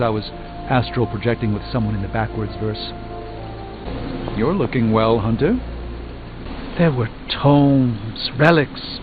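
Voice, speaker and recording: An actor playing a proud, grave sorcerer burdened by failure in a video game, speaking in a formal, dramatic, articulate manner. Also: male voice, medium pitch, narrow, tinny audio, lightly acted. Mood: flustered, apologetic, then grave, resolute. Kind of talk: video game character dialogue.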